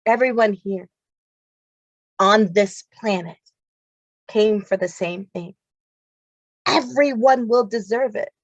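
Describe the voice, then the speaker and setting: A woman's voice: mid-range voice, slow, pauses often, no room sound. Woman poet, hard-hitting spoken word, dry muffled call audio. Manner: intense, confrontational delivery.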